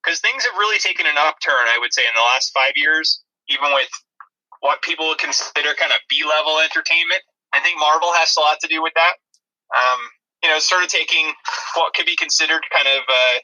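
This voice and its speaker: A man's voice: fairly high pitch, bright, forceful tone, rough recording. A man co-hosting a podcast, chatting casually about films with wry, dry-humoured asides. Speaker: podcast co-host. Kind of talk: casual movie review chat.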